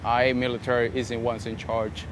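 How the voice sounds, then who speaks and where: A man speaks casually in an interview about soldiering. His pitch sits mid-range. Loud background noise runs behind him. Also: level tone, moderate pace. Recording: noisy outdoor recording.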